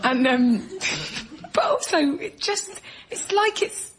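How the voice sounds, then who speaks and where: A woman's voice: very high voice, very animated, slow, crowd noise. A British woman guest on a comedy panel show, going off on a rambling, exasperated conversational rant.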